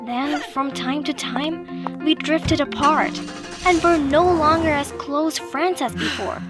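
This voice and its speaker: Story narrator: woman, very high register, scripted first-person delivery over a clear music bed. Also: fairly even intonation, takes it slowly. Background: background music.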